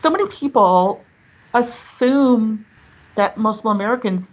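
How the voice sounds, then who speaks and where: A woman's voice: fairly high pitch, measured pace, dull audio. A woman reporter telling what she learned from her interviewees in an earnest, emphatic way. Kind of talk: conversational reporting.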